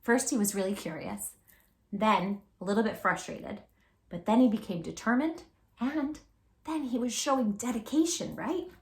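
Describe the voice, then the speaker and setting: A woman hosting a children's read-aloud, expressive and encouraging, emphasising words and chatting directly to young listeners. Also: fairly high pitch, moderate pace. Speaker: storybook reader, channel host.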